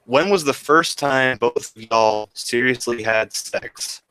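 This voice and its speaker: A man in his twenties hosting a podcast, asking questions in a relaxed, laid-back way. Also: medium pitch, takes it slowly, clear, resonant tone, no room sound, low-quality recording. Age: 20s. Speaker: podcast host. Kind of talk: casual podcast chat.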